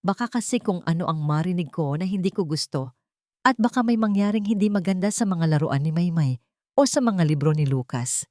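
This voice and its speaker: A woman's voice: on the low side, lively intonation, fairly quick, a little mellow, no echo, close to an everyday voice. A scheming stepmother from a dramatic audio story, voiced by a woman narrator. She is cold and two-faced, with menace under a sugary front. The sound is dry and close. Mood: quiet menace. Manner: menacing, falsely sweet.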